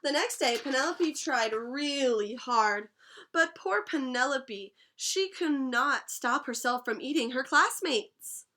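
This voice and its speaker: A young woman teaching a class, reading a picture book aloud and pausing to explain words and ask questions. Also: sits high, animated, bright, forceful tone. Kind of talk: read-aloud storytime lesson.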